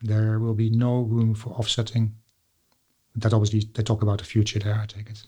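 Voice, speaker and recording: A man's voice: on the low side, monotone, a little fast, mellow. Dutch guy hosting a podcast, english with a dutch accent, kind of hesitant. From Netherlands. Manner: hesitant, with many fillers and repeats. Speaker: podcast host. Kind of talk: podcast interview.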